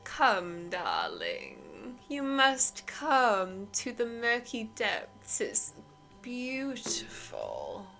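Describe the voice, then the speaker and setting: A woman voicing a sly, seductive female lake creature who speaks slowly in a hushed, coaxing way. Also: medium pitch, huge pitch swings, vocal fry, soft background music, heavily acted.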